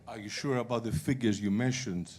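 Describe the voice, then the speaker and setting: A man's voice: medium pitch, fairly even intonation, fairly quick, a little mellow. A man asking a question from the floor of a conference hall, impassioned and insistent. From Greece. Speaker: audience member asking a question. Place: large conference auditorium.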